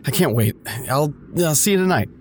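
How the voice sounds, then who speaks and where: A man's voice: on the high side, expressive, brisk, a crowd in the background, close to an everyday voice. An actor playing an awkward, scheming man in an audio drama, talking to an old friend in a hesitant, stammering, over-eager way. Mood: eager, coaxing. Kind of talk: naturalistic audio drama dialogue.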